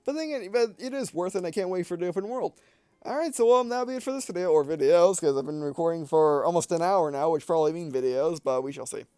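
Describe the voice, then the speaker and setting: High-pitched man rambling through game commentary. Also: expressive, fast talker, a little mellow, clean tone, no room sound. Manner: rambling, hesitant, repeatedly self-correcting. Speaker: video game let's-play host. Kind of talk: casual unscripted game review commentary.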